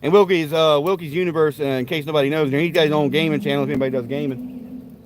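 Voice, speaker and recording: Man, a bit high-voiced, live-stream host, cussing, rowdy rambling banter, outdoors at a backyard grill. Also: fairly even intonation, fast talker, noisy background. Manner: profane, rowdy trash talk and folksy banter. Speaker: livestream host.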